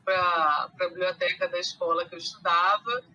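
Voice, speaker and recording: A woman from Rio de Janeiro with a mixed Brazilian accent, carioca with traces of Minas, chatting as a podcast guest with many pauses and fillers. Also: fairly low pitch, steady tone, sharp tone, highs cut off. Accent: mixed Brazilian Portuguese, carioca with Minas traces. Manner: hesitant, many fillers and restarts. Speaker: guest, animal science teacher. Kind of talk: casual podcast conversation.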